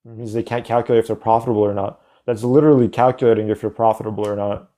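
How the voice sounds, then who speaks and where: A man's voice: lowish voice, fairly even intonation, very fast, dark tone. A man hosting a livestream, arguing bluntly and matter-of-factly with callers. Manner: blunt, dismissive, matter-of-fact. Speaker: livestream host. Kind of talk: casual debate with callers.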